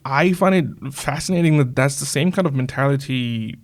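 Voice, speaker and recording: A man from Bangladesh, hosting a podcast interview and asking thoughtful, halting questions. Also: medium pitch. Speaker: podcast host.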